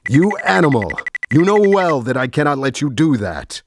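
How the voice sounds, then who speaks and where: An actor playing a bold, defiant bandit hero in dramatic video game style. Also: male voice, fairly high pitch, big pitch swings, moderate pace, slight background noise.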